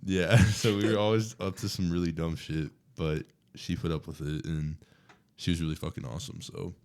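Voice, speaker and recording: A man's voice: sits low, moderate pace. A young man co-hosting a podcast, telling stories in casual, profane banter and acting out what others said. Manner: profane, animated storytelling with quoted voices. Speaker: podcast co-host.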